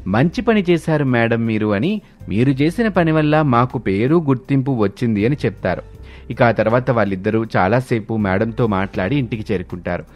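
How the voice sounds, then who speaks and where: A man narrating a family story in an even storytelling tone, with soft music behind. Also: medium pitch, dull audio.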